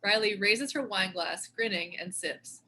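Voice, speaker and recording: A woman's voice: medium pitch, unhurried, bright, forceful tone, slight background noise. A woman reading stage directions as scripted narration for a screenplay table read.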